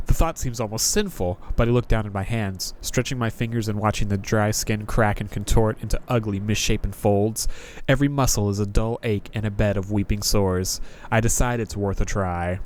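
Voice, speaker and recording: A man's voice: lowish voice, moderate pace, a little mellow, a little hiss or hum. A man hosting a gaming video, reading narration aloud and commenting in a casual, jokey, informal way.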